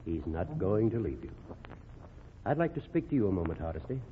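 Grave doctor, noisy old radio. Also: male voice, fairly low pitch, very animated, brisk. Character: grave, protective male ship's doctor. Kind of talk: 1940s radio drama acting. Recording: noisy, reverberant, tinny old radio recording.

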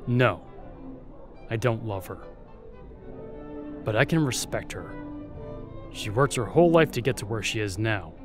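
Man acting a slow, bitter young court spy. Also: fairly low pitch, expressive, over music. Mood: defensive, cold. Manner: sardonic, bitter, guarded, with pauses. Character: jaded, defiant young male spy serving at a royal court. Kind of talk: audio roleplay acting.

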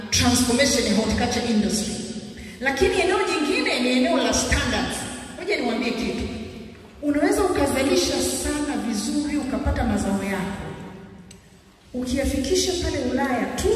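A middle-aged woman with a Tanzanian accent, giving an animated public lecture on a handheld mic. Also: on the high side. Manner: animated, gestures while walking the stage. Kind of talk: public lecture mixing Swahili and English. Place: large hall with stage. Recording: echoey hall recorded from far off.

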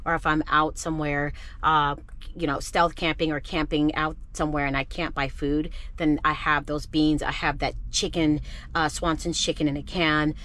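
A woman's voice: sits low, little pitch movement, moderate pace, a bit bright. A woman in her thirties chatting casually to a handheld camera from her van and around the city, self-assured and talkative. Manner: chatty, self-assured, calls herself "your girl". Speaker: van-life vlogger. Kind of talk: casual vlog chat to camera. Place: inside a parked van and outdoors in a city lot, also in a store. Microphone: handheld camera at arm's length.

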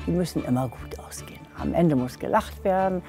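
An older woman, a producer of a long-running audio drama, chatting in a lively way with frequent laughter while walking through a large concert hall. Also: fairly low pitch, a little fast. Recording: music and crowd noise in a big hall.

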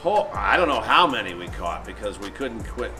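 Jovial older man, lively voice. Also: fairly low pitch, normal speed, over music. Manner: jovial, joking, animated. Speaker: charter client. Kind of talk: casual chat.

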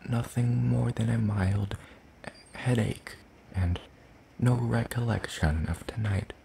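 Gentle, curious demon played by a man for ASMR roleplay: low, mellow, soft-spoken, hesitant and courtly in phrasing. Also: fairly even intonation, gravelly, slight background noise, full character voice. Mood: calm, confiding. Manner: hesitant, formal, slow and deliberate. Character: gentle, curious, one-eyed soul-leeching demon creature.

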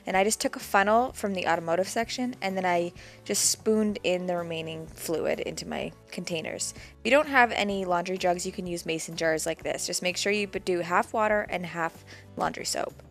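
A woman's voice: fairly low pitch, moderate pace, faint music behind. A woman in her twenties giving a plain, practical step-by-step voice-over for a homemade cleaning recipe. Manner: plain, practical, walks through each step. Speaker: DIY homesteading vlogger.

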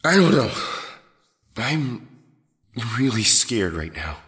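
An actor playing a frightened, pained young male captive in an audio drama, halting and emotional. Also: medium pitch, sing-song, a bit bright, heavily acted. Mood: scared. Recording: long hall-like reverb.

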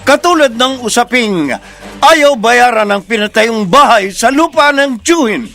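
Male Filipino radio announcer: very high, animated, measured pace, theatrical drama intros. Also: vocal fry. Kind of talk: dramatic scripted programme introduction. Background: loud music.

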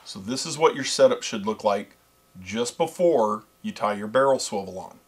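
A man's voice: fairly low pitch, lively intonation, moderate pace, slightly rough. A middle-aged man giving a step-by-step how-to demonstration as a show co-host, talking straight to viewers. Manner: step-by-step instructive, addresses viewers as folks and guys. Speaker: fishing pro staffer and co-host. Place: small studio set with backdrop.

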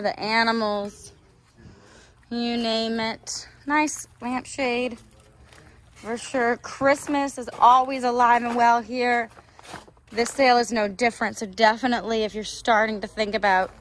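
A woman's voice: on the high side, a bit bright. A woman hosting a casual auction preview as she walks around outdoors, listing items in upbeat, folksy sales patter. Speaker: auction house host presenting a sale preview. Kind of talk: casual promotional walkthrough commentary. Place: outdoors in an open auction yard.

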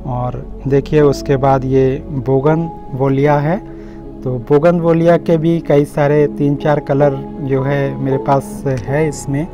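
A man's voice: mid-range voice, little pitch movement, takes it slowly. A man gives a casual, explanatory garden tour, addressing viewers in a friendly, direct way. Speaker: host of a home gardening channel. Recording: slightly muffled audio with music underneath. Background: instrumental music.

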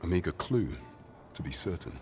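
An actor playing a grave, loyal royal counsellor in a fantasy game, formal and solemn with archaic phrasing. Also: male voice, deep voice, very animated, moderate pace, a little mellow, slight background noise. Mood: grave, pondering. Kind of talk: scripted fantasy game acting.

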